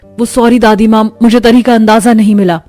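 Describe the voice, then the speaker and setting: A woman's voice: fairly high pitch, steady tone, fairly quick, warm tone, no room sound, studio quality, soft background music, lightly acted. A woman voicing an exasperated, dutiful young daughter-in-law in a cartoon story. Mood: protesting. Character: harried, grumbling young daughter-in-law cook. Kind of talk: acted moral-story cartoon.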